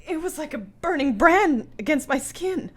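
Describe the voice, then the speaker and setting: An actress playing an earnest, brave young gentlewoman in a radio play, with formal period phrasing. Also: high voice, lively intonation, moderate pace. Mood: anguished. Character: earnest, brave young married gentlewoman under a vampire's curse.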